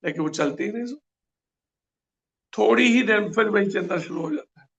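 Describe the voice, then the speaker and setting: Male preacher, raspy fry-heavy voice, pitched up, earnest and questioning. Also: expressive, brisk, a bit bright, muffled recording, slight background noise. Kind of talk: scripture class.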